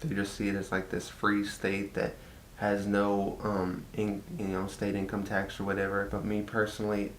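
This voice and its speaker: Young texas guy w a southern accent, says like a lot, slightly low voice, faint hum behind. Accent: Texan Southern. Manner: chatty, frequent "like" and repeats. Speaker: vlogger. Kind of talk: casual personal vlog. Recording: echoey room with faint rumble.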